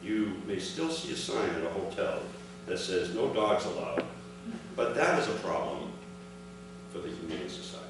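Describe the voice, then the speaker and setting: Echoey, low-voiced older man giving a speech. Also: big pitch swings, normal speed. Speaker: speaker reading his father's account at an award ceremony. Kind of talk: prepared speech read aloud. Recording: echoey, noisy hall recording from far off.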